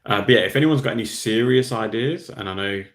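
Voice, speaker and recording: A man's voice: mid-range voice, brisk. A man, host of a hobby channel, chatting casually on a livestream, rambling and reading out viewer comments. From Australia.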